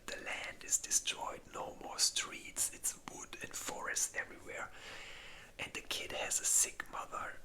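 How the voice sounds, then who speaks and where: Bright, breathy ASMR whisperer. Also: very high-pitched, rough recording. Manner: rambling, informal, casually profane. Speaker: ASMR content creator. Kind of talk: whispered ASMR movie ranking chat.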